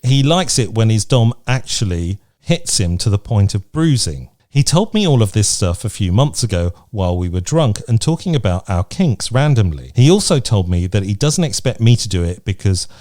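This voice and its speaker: Low-voiced man, candid podcast co-host. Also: very animated, moderate pace, hardly any pauses, darkish tone. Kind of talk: casual advice chat, reading out listener questions. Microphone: remote recording from separate location.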